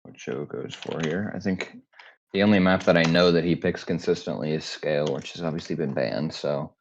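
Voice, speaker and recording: A man with a deep voice giving casual, laid-back live commentary on a game match, thinking aloud with fillers. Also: fairly even intonation, normal speed, highs cut off, a little hiss or hum. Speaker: tournament commentator. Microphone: home streaming mic, lower quality.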